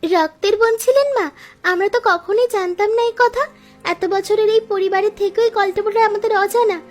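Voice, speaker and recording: A woman's voice: very high voice, a little fast, a little mellow, soft background music. A woman playing an eager, sentimental younger sister and daughter-in-law in a cartoon story, speaking earnestly. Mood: amazed.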